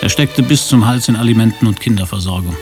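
A male narrator with a fairly low voice tells a story for radio drama. His delivery is dry and literary, and music plays clearly behind him. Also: fast talker. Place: radio studio.

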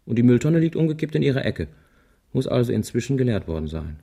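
An actor playing a wry, analytical husband in a radio crime drama, reasoning aloud in a deliberate, musing way. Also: male voice, mid-range voice, sing-song, gravelly, warm tone. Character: wry analytical husband puzzling out a child's grisly drawings.

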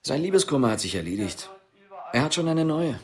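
An actor playing a guarded, dry police investigator in a radio drama, speaking tersely. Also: male voice, very animated, brisk, natural voice. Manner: terse, low-key.

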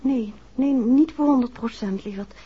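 A husky, fairly high female voice plays a detective's shaken wife in an old radio play. She is hesitant yet stubborn and affectionate, on a muffled vintage recording. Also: normal speed. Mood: hesitant, unsure. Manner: hesitant recounting, insistent. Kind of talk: 1960s radio drama acting.

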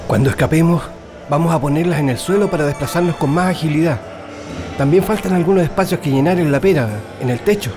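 A man's voice: a little high, quick pace, music in the background, lightly acted. Resolute prisoner comrade acted by a man. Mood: practical, concerned. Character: resolute, questioning male political prisoner comrade. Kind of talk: radio drama acting.

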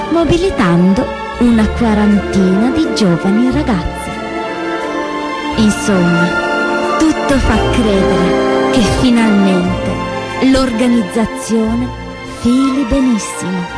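A woman actor delivering dramatic scripted stage narration in measured, emphatic phrases. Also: a little high. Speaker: actress narrator.

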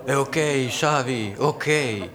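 A man's voice: mid-range voice, very slow. An actor playing a blithely unbothered, drunken injured man in a radio drama, drawling and grandiose. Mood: dazed, blithe. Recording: crowd noise behind the voice.

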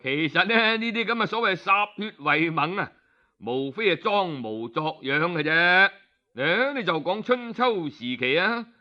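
A man's voice: high-pitched, animated, a little slow, highs cut off. A man with a Cantonese accent telling a traditional story in colloquial style, with explanatory asides.

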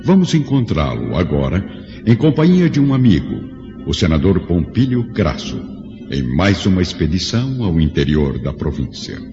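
A man's voice: low voice, very animated, normal speed, warm tone. A man reading scripted narration for a radio drama in a solemn, literary manner. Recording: muffled recording with faint music.

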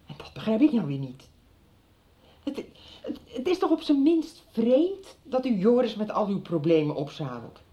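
A middle-aged mother in a radio soap, worried and disapproving. She is firm yet hesitant, with a swooping, soft-toned voice in a slightly dull recording. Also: fairly high pitch, sing-song, normal speed. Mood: worried, reproachful. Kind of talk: 1990s radio drama acting.

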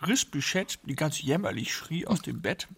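A man narrating a literary radio play as a scripted storyteller, with a gravelly, husky voice. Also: fairly high pitch, animated, moderate pace, a bit bright.

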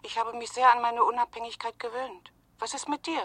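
An actress playing a gentle, independent office worker in a German radio drama, in plain conversational delivery. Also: female voice, a little high, steady tone, brisk, dry recording. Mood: tender.

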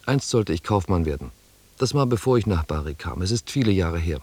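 A man playing a worldly foreign scribe and interpreter, narrating his past simply and deliberately in a German radio drama. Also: low voice, animated, darkish tone, husky. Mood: reflective, plain.

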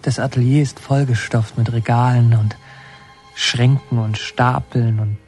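An actor playing a young man narrating his memories in a literary radio drama, measured and intimate. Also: medium pitch, fairly even intonation, a little mellow, lightly acted. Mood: brooding, intimate. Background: music.

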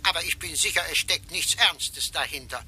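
An actor playing a fussy, overly polite solicitor who speaks with halting, insinuating courtesy in a radio drama. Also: male voice, on the high side, sharp tone. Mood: smooth, insinuating. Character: fussy middle-aged male solicitor, smooth, insinuating. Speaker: lawyer on the phone. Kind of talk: German radio drama acting.

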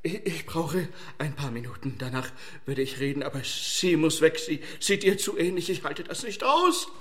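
A man's voice: a little high, lively intonation, moderate pace, a bit bright. An actor playing an anxious, ageing father in a radio play, stammering and repeating himself as he talks. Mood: exhausted, rattled. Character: flustered, anxious ageing father hiding guilt.

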